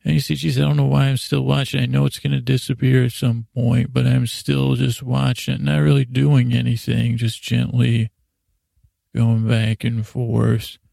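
A man hosting a sleep podcast, rambling in a halting, self-interrupting way with silly, self-deprecating asides that trail off mid-thought. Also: mid-range voice, flat delivery, gravelly, dark tone, no echo. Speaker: podcast host.

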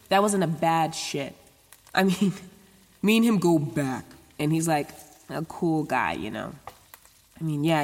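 A woman's voice: low-pitched, big-room sound. An actress playing an anxious, chatty young woman who rambles casually and swears in a naturalistic audio drama monologue. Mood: conflicted, rationalizing. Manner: rambling, casual, sweary, stop-start self-talk. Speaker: lead actor in an audio drama.

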